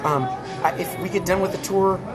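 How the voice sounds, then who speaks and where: A man's voice: high voice, steady tone, a little fast, over loud music. Joking American man talking shop. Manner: candid and joking, laughs often. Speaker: touring musician, interview guest. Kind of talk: casual interview chat.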